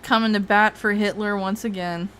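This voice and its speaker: A woman's voice: medium pitch, fairly even intonation, moderate pace, fairly bright tone, a little hiss or hum. Rambling, foul-mouthed woman streamer. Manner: rambling, profane, frequent laughs. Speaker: streamer host. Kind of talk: casual livestream chat.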